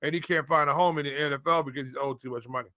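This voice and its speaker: A man's voice: fairly high pitch, fairly even intonation, racing, bright, forceful tone, no echo, clean studio sound. A man co-hosting a casual fantasy football show, talking colloquially and repeating phrases for emphasis. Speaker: fantasy football podcast co-host and analyst. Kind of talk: casual sports talk.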